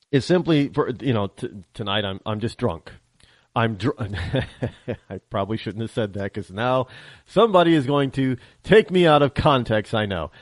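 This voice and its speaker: A man talks on his own radio show from a home studio, in a wry, needling way, tripping over the starts of his sentences and chuckling at his own jabs. The room sounds dead and dry, and his pitch sits in a middle range. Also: dry recording. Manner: wry, teasing, stammers and restarts, chuckles. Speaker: radio talk show host. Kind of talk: political talk radio monologue.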